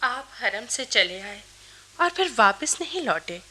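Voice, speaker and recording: A woman's voice: a little high, big pitch swings, takes it slowly, sharp tone, full character voice. An actress playing an anxious, devoted young queen in a Hindi radio play, with a tender, hesitant delivery. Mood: concerned.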